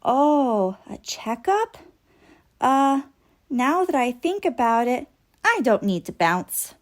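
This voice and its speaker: A woman's voice: high-pitched, big pitch swings, slow, heavily acted. A woman voicing a nervous, childlike male toy ball in a storybook, hesitant and full of protest. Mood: nervous, defensive.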